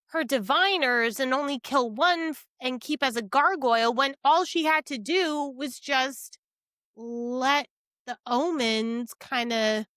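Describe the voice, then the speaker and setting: A woman's voice: high voice, a bit bright, dry recording, studio quality. A woman co-hosting a book podcast in casual conversation, puzzling things out aloud with questions. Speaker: podcast co-host reviewing books.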